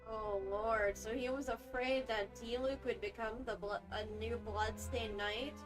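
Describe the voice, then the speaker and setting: A woman's voice: fairly high pitch, fairly even intonation, measured pace, over music. A woman game streamer giving casual reaction commentary as she plays.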